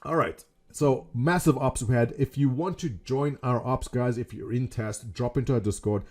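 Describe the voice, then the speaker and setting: Middle-aged South African man, mellow tone, jokey self-mocking webcam vlogger at a desk mic. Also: mid-range voice, moderate pace. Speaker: gaming community leader. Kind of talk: casual webcam vlog. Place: small home room indoors.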